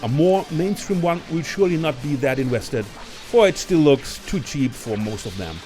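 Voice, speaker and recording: A man's voice: a little high, lively intonation, normal speed, a little mellow, faint music behind. A man hosting a countdown video, reading a scripted film review as narrator. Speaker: video list host and narrator.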